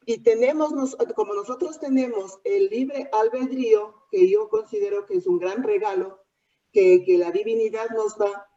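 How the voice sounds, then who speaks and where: A woman with a mellow, soft voice talks through her thoughts in class, pausing and rephrasing as she goes. She sounds far from her microphone, and the call audio is dull and muffled. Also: a little high, animated. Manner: hesitant, thinking aloud with restarts. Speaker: student. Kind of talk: class participation.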